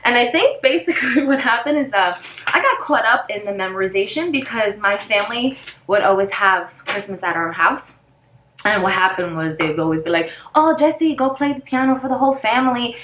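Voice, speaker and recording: A woman's voice: on the high side, lively intonation, a little fast, a bit bright, a little hiss or hum. Chatty woman in an echoey room. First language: Spanish. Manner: chatty, rambling, loses her place and restarts; talks while doing her makeup. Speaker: vlogger. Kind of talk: casual get-ready-with-me chat.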